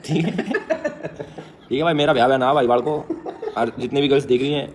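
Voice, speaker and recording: A fast-talking man, joking and laughing as he chats casually on camera. His voice sits at a middle pitch, and a faint hum of ambience runs under the recording. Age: 20s. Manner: joking, laughs often, addresses viewers as brothers. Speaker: vlogger. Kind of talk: casual family vlog chat.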